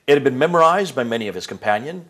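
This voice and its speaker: A man's voice: mid-range voice, expressive, rapid-fire, fairly bright tone. A man giving an explanatory lecture to an interviewer, emphatic, with rhetorical questions and frequent fillers and restarts.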